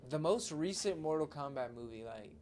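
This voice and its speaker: A man's voice: fairly high pitch, expressive, moderate pace, darkish tone. A man co-hosting a podcast in casual, conversational talk. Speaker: podcast co-host.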